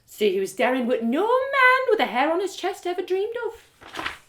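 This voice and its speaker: A woman's voice: very high-pitched, wildly swooping pitch, normal speed, fairly bright tone, a little hiss or hum, pitched above the performer's own voice, heavily acted. A woman voicing a motherly, gossipy innkeeper with folksy, effusive speech for an audio story. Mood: merry gossip. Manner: folksy, effusive, rustic turns of phrase. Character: gossipy motherly innkeeper woman, merry but quick to outrage.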